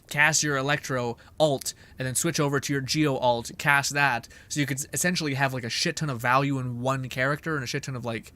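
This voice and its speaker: A man's voice: medium pitch, pushed and bright, dry recording. A man giving casual live game commentary as a streamer, rambling and slangy, with frequent swearing.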